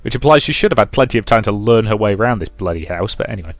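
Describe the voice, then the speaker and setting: A man's voice: lowish voice, expressive, very fast, no room sound, soft background music. A man doing a let's play, reading a visual novel aloud and dropping in casual, chatty asides.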